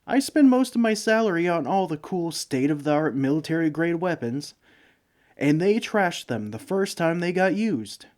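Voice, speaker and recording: A man's voice: on the high side, big pitch swings, normal speed, a little mellow. Man playing a sheepish, broke supervillain neighbor. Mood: exasperated. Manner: hesitant, pleading, self-justifying with nervous chuckles. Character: broke, bumbling, sheepish male supervillain neighbor begging for sugar. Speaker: voice actor in an audio roleplay. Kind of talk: comedic ASMR roleplay monologue.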